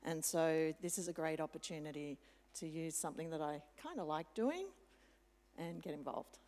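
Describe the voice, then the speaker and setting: A woman's voice: deep voice, lively intonation, normal speed, warm tone. A woman, a scripture teacher and school chaplain, chatting animatedly on stage and acting out classroom moments. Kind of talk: casual interview on stage. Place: church sanctuary. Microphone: handheld mic. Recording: long church hall reverb.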